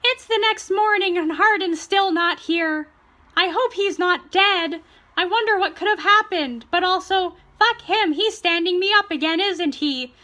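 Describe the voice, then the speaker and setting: A woman mockingly voicing a frantic, eager young heroine in a shrill, breathless, overexcited voice. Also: measured pace, sharp tone, exaggerated voice. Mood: whiny annoyance. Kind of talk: mocking parody reading.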